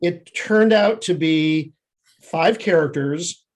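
A man's voice: on the high side, slow. A man talking casually as a screenwriter and director guest on a podcast, often chuckling as he speaks.

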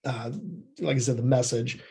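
A man answering as an interview guest in a conversational, reflective way, haltingly thinking aloud. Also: mid-range voice, flat delivery, creaky, dark tone, husky. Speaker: interview guest, screenwriter and TV creative services producer.

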